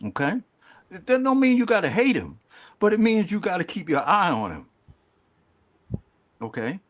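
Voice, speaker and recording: A man speaking as a panelist in a group discussion in a slow, measured, preacherly manner. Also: sits high, big pitch swings.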